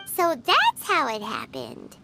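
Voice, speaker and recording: A woman voicing a tiny, excitable, childlike flying fairy companion in a video game, with a squeaky voice. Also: slow, gravelly, very noisy, heavily acted. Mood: puzzled. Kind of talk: video game character acting. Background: music.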